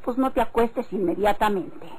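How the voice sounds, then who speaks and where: An actress playing a wary, skeptical country wife in a Mexican radio drama, pointed and admonishing, with a Mexican accent. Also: female voice, medium pitch, normal speed, darkish tone, lightly acted. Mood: mildly reproachful. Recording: old radio recording, faint noise.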